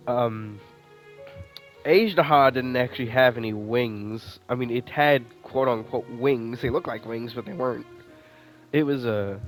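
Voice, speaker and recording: A male gaming streamer talks casually as he plays, giving running commentary in a mid-pitched voice. Soft game music sits faintly behind him. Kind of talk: casual let's play commentary.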